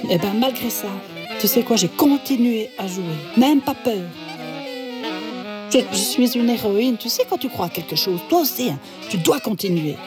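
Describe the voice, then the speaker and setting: A woman voicing a chatty, boastful female saxophone in a cartoon, animated and full of exclamations. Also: fairly high pitch, normal speed, natural voice. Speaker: talking instrument telling her history. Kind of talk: cartoon voice acting. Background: background music.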